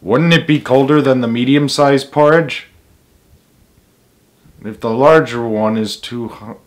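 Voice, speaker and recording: A man's voice: mid-range voice, a little slow. A man reading a children's story aloud as its host, with emphatic delivery and casual asides.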